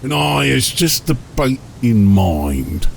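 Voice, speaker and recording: Gravelly, bossy ork captain. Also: male voice, mid-range voice, sing-song, takes it slowly, a little hiss or hum, full character voice. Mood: stern, scheming. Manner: coarse ungrammatical speech, barking orders. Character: gruff, bossy male ork submarine captain, short-tempered. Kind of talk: fantasy audiobook character acting.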